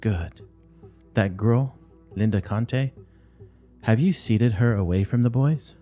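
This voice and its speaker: A man's voice: on the low side, takes it slowly, mellow, faint music behind. A man voicing a strict older nun who runs a school, speaking curtly and moralizing in a play reading. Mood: brisk, watchful. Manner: curt, severe, moralizing.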